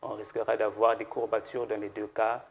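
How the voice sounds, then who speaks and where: A man, a pharmacist guest on a TV studio set, explaining slowly and hesitantly with many fillers and restarts. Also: lowish voice, little pitch movement, vocal fry, a little hiss or hum. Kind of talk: explanatory TV interview answers.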